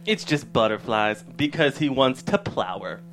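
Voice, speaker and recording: A man performs a crass gym sidekick in a sitcom read. His delivery is mock-earnest and needling, with lively swings around a middle pitch over faint music. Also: normal speed, lightly acted. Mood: teasing. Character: crass, teasing male gym front-desk sidekick. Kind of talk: sitcom script table read.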